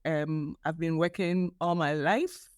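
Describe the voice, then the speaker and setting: Woman, 60s, from Ghana, guest author; measured and earnest, restarts words, dry close sound. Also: sits low, steady tone, no room sound. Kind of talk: podcast interview.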